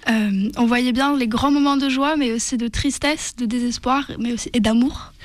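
Jeune femme de dix-huit ans, voix aiguë. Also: female voice, a little high, fairly even intonation, normal speed, no echo. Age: teens. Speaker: young guest reviewer. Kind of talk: film review chat.

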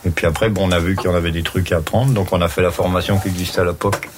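A man's voice: low voice, rapid-fire, a little hiss or hum. A man in his sixties, a shepherd, talking casually and plainly while herding on a mountain pasture. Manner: relaxed, plain-spoken, teasing.

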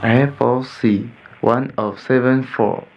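A man's voice: mid-range voice, steady tone, slow pace, faint music behind. A man hosting a scripted mock radio show, reading his questions. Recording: dull lo-fi sound.

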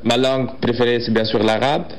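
A man introducing himself in a hesitant way, pausing and restarting often. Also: medium pitch, fairly even intonation, a little hiss or hum. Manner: hesitant, frequent restarts and fillers. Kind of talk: personal introduction.

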